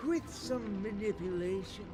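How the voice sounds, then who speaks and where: Calm preaching lecturer, high voice, music behind. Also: male voice. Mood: lecturing. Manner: lecturing, measured. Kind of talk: video game voice acting. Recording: game audio with clear music.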